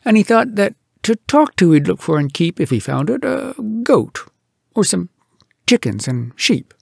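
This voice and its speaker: Male storyteller for comic poetry: raspy, fairly high voice, expressive and theatrical, recorded close and dry. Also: no echo. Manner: theatrical, animated delivery with dramatic pauses. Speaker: storyteller reading poems aloud.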